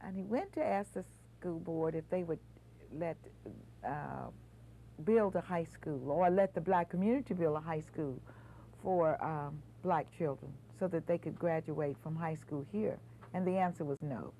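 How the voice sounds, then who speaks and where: A woman's voice: sits low, unhurried, mellow, rough recording. A woman speaking unscripted as an interviewee, recounting history. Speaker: documentary interviewee. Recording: faint hum behind voice.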